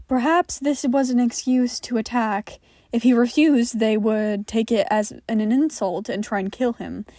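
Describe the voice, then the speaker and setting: A woman narrates a piece of fiction from a script. Her voice sits fairly high, and the recording is dry and close with no room sound. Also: a little slow, warm tone, no echo. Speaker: podfic narrator.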